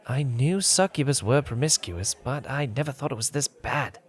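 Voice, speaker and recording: A man playing a disapproving young adventurer in an audiobook, speaking in an incredulous, hushed manner. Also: medium pitch, lively intonation, normal speed, slightly rough. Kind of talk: audiobook character acting.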